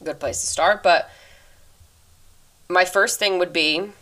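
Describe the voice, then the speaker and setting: Deep-voiced young woman, earnest podcast talk. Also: bright, forceful tone. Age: 20s. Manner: earnest, reflective, talks fast. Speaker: podcast host. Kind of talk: casual solo advice talk. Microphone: podcast microphone.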